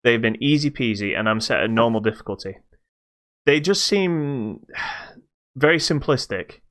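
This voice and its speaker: Smooth, clear-voiced man chatting casually. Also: mid-range voice, expressive, unhurried, a bit bright. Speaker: podcast co-host. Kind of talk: casual video game review chat.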